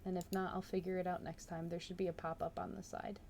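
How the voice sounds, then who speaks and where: A woman's voice: on the low side, little pitch movement, quick pace, gravelly, darkish tone. A woman reading a story aloud on a live stream and commenting on it casually. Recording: noticeable background noise.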